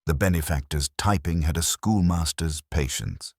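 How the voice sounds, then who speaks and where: A man narrating a literary detective story as a solo reader, in a measured, dry and wryly formal manner. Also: a bass voice, no echo. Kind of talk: scripted literary narration of a mystery drama.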